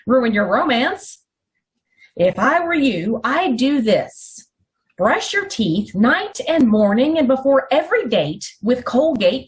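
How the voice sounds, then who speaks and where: Female old-time radio pitchwoman: scripted sell, emphatic and deliberate, lively swooping intonation, higher register. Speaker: commercial announcer. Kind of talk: scripted 1940s radio commercial acting.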